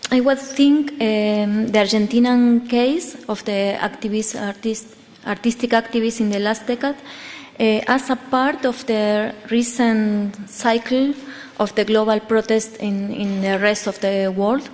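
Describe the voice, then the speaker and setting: Slow woman in a hall. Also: fairly high pitch, fairly even intonation, darkish tone. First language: Spanish. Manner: careful, struggling for English words. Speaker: conference speaker. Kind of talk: halting conference discussion. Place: conference hall. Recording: long reverb of a hall.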